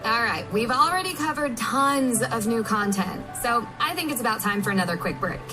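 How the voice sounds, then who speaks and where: A high-voiced woman co-hosts a game livestream. She swings widely in pitch as she gives bubbly scripted commentary and playful reactions, with music clearly audible behind her. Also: lively intonation, normal speed, low-quality recording. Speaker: livestream co-host.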